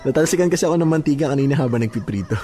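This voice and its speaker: A man playing a devoted, affectionate young husband in a Filipino radio drama, speaking in a gentle, reassuring way. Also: medium pitch, animated, rapid-fire, natural voice. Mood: playful, caring. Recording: faint music behind the voice.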